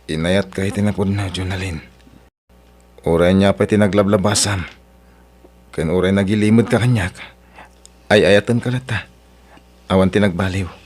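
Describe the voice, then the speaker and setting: A devoted young suitor played by a man in an audio drama. His voice sits fairly low, and he talks earnestly, pleading as a long-suffering, dutiful son and lover. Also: fairly even intonation, normal speed, long pauses, darkish tone.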